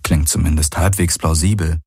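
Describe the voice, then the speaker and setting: Casting: blunt, sardonic, weary male space adventurer for sci-fi audiobook dialogue, done by a male narrator, low-pitched, very dry recording. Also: fairly even intonation, normal speed, creaky, warm tone, husky, no room sound, heavily acted. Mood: pondering.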